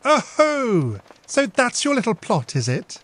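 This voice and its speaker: A man voicing a dignified but warm-hearted young woman who teases her younger sister with lively exclamations. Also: very high-pitched, unhurried, pitched above the performer's own voice, full character voice. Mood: teasing.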